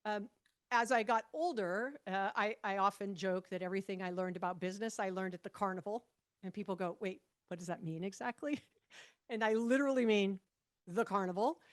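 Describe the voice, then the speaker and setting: A woman in her fifties from Hawaii, a podcast guest telling stories about her past in a relaxed, animated way, with frequent restarts and light laughter. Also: mid-range voice, fairly quick. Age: 50s. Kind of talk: conversational podcast interview.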